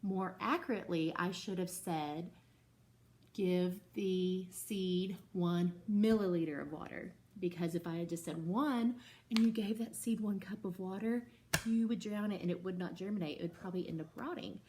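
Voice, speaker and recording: A woman's voice: fairly low pitch, moderate pace, a little mellow, a little hiss or hum. A woman teacher reading a picture book aloud to her second-grade class and stopping to explain it patiently.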